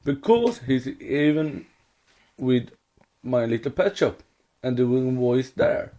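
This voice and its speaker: A Swedish man co-hosts a casual podcast in accented English. His voice sits in a middle register. He talks slowly, with halting, loosely built sentences. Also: mid-range voice, animated, warm tone. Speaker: podcast co-host. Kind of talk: casual fan podcast chat.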